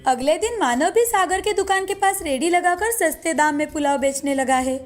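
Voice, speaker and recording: A woman narrates a moral cartoon tale in a steady, scripted storytelling manner. Her voice sits high, and faint music plays beneath her. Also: normal speed.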